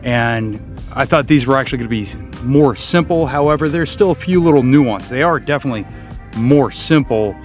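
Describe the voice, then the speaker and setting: A man's voice: medium pitch, moderate pace, soft background music. A man hosting a casual, unscripted how-to vlog, explaining somewhat hesitantly as he thinks aloud. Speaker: vlog host.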